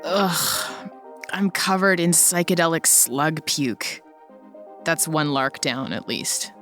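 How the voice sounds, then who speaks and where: A gritty, combative rebel played by a woman in an audio drama, with a lowish pitch. She is blunt and defiant, over faint music. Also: takes it slowly. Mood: weary, resolute. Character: defiant, foul-mouthed young office rebel woman.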